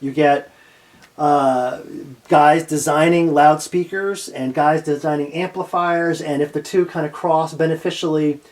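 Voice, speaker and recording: Informal male interviewer, slightly high-pitched. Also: fairly even intonation, unhurried, a little mellow. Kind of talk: casual conversational interview.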